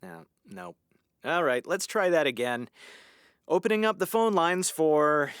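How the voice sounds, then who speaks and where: A man's voice: on the high side, a bit bright, no echo. A man playing an earnest, easily exasperated small-town radio host with wordy, showy patter in an audio comedy. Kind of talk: audio drama comedy acting.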